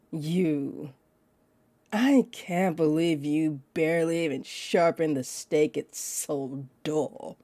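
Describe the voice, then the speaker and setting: A woman's voice: low voice, mellow, dry recording. An actress playing a teasing, possessive female vampire in an audio roleplay, condescending and confident, speaking straight to the listener. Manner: smug, taunting, unhurried.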